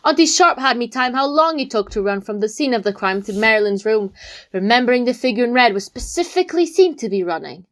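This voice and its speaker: A woman's voice: fairly high pitch, animated, a little fast, a bit bright. A woman playing an earnest young amateur detective, explaining a case theatrically in an audio drama. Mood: dramatic, confident.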